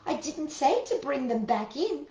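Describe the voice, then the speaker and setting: Woman voicing a high, cheerful, naive storybook housemaid. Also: very animated, measured pace, warm tone, heavily acted. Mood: naive pride. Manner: exclamatory, guileless. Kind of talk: children's storybook character voice. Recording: roomy echo.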